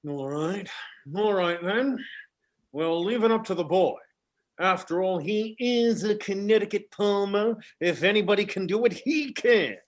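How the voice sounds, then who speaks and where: A man's voice: high-pitched, a little slow. An actor playing a blustery, grumbling old New England father in an old-time radio play. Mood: resigned, proud. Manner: exclamatory, gruff grumbling.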